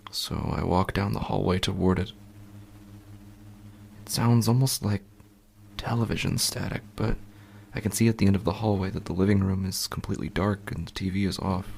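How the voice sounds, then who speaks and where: Man acting as low, gravelly sleepless horror narrator. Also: slight background noise. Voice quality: gravelly, husky. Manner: hushed, deliberate, halting with long pauses. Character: uneasy, sleepless husband haunted by faint night sounds. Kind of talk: slow first-person horror podcast narration.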